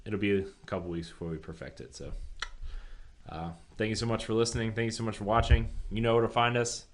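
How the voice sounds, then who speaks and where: Deep-voiced man, relaxed podcast chat. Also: fast. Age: about 30. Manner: laid-back, slangy, says dude and man. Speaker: podcast co-host. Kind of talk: casual chat between friends about games.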